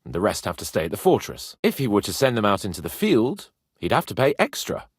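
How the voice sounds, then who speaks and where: An actor playing an earnest, proud medieval nobleman in a video game, with a husky voice and a formal, emphatic manner. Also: on the low side, sing-song, a little fast, dry recording, studio quality. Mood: serious, explaining. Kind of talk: video game character dialogue.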